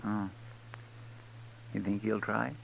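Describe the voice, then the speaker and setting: A humble frontier sidekick with a creaky, gravelly voice. He is loyal and simple-hearted, folksy and hesitant in his speech, in vintage western radio drama. The old recording is clearly noisy. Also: on the low side, level tone, slow, fairly bright tone, heavily acted. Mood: uneasy.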